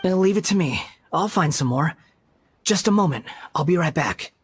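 Polite, humble young wanderer. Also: male voice, fairly high pitch, lively intonation, normal speed, husky, soft background music. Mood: earnest, grateful. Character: polite, humble, soft-mannered young wanderer working as helper. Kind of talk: video game voice acting.

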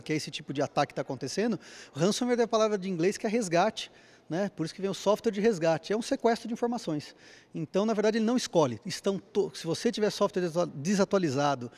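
A man in his forties answers an interviewer on a handheld mic inside a large event hall. His voice sits fairly high and he talks fast. He explains steadily, often tagging his points with a quick "né?". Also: a little mellow. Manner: explanatory, rapid, repeats "né?". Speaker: IT security company speaker.

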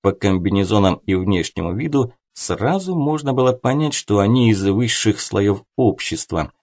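A man with a husky voice reading first-person fiction as a scripted audiobook narration. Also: lowish voice, lively intonation, moderate pace, darkish tone.

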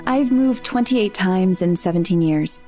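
A woman's voice: mid-range voice, lively intonation, unhurried, warm tone, faint music behind, lightly acted. A woman playing an anxious, lonely seventeen-year-old girl in a naturalistic audio drama, speaking hesitantly and self-deprecatingly. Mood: wistful, resigned.

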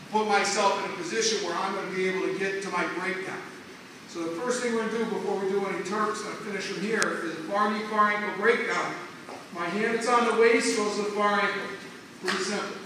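Middle-aged male wrestling coach, high voice, instructive, talking mid-demonstration; far from the camera, booming gym, noisy. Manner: instructive, repeats "okay?" to check in; talks while grappling on the mat. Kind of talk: demonstrating technique to students. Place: indoor wrestling room with mats. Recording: echoey room, distant from mic.

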